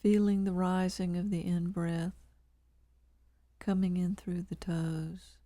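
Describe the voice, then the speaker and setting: A woman leading a guided body scan meditation, calm and measured, leaving long pauses between short phrases. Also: on the low side, no echo.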